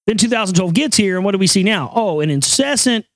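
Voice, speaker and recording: A man with a high voice hosting a casual talk show, joking crudely and rambling over news items. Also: expressive, fast, no echo, clean studio sound. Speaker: show host.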